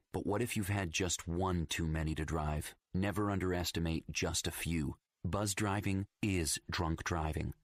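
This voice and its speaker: A man delivering measured scripted narration as a public service announcer. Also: deep voice, no echo, clean studio sound.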